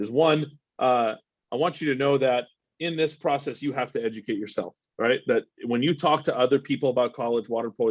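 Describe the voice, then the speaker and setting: A middle-aged man rattles through a webinar talk at speed, leaning on "uh" and "right?" as he goes. His voice sits mid-pitch and is recorded dry, with a slightly dull, muffled edge. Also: no echo. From Egypt. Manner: rapid, energetic, peppered with "uh" and "right?". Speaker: water polo executive director giving a recruiting webinar. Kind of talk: fast, informal presentation with frequent fillers.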